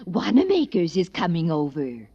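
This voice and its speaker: Husky, swooping, affected society lady. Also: on the low side, wildly swooping pitch, normal speed, full character voice. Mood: gushing, insistent. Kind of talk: 1940s radio acting. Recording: dull vintage 1940s radio recording.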